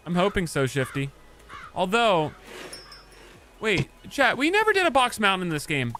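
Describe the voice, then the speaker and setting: Excitable male streamer with lively pitch. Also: moderate pace, a little hiss or hum. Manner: excitable, swings from mumbled asides to loud outbursts. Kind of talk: casual gaming livestream chat.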